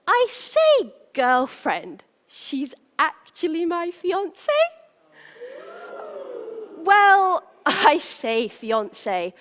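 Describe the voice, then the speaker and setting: Young panto prince female performer: high-pitched, slow, theatrical delivery, self-important and lovestruck, live in a reverberant hall. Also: fairly bright tone, full character voice. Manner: theatrical, declamatory, addressing the crowd with dramatic pauses. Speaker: stage actor. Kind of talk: live pantomime monologue to the audience. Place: large indoor theatre stage.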